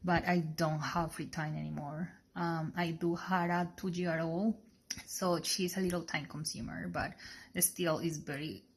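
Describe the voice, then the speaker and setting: A young woman from Colombia with a Colombian Spanish accent, a sonography student telling her story haltingly, with many fillers and restarts. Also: sits low, fairly even intonation, breathy edge. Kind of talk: casual personal testimony.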